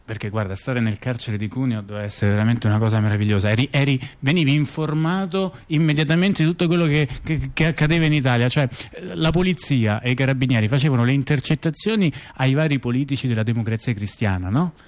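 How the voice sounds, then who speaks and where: A man acting as a chatty intellectual, telling scandals in conversational stage monologue, halting and repeating himself with frequent tag questions. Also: mid-range voice, a little mellow, lightly acted. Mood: sardonic. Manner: halting, repetitive, full of rhetorical tag questions. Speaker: stage actor-narrator. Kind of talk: conversational monologue theatre, addressing a listener.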